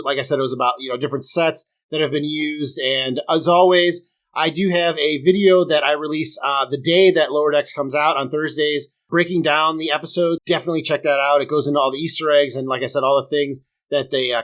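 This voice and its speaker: A man's voice: fairly high pitch, steady tone, quick pace, a bit bright. A man hosting a casual review podcast, chatty and opinionated, talking straight to his viewers while sick with a sore throat. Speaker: podcast host.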